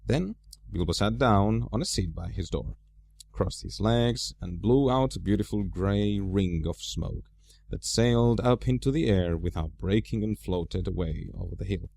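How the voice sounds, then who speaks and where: A man narrating a storybook aloud as an amateur reader, with expressive, deliberate phrasing. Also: lowish voice, creaky, no room sound.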